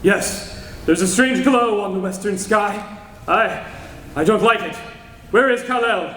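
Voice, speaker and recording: A man's voice: sits high, a little slow, a bit bright. A man acting a grave, passionate young alien scientist foretelling doom in solemn, declamatory old-time radio drama style. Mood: alarmed. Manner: solemn, declamatory, melodramatic delivery. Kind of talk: 1940s radio serial acting recreated on stage. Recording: echoey hall recording with background noise.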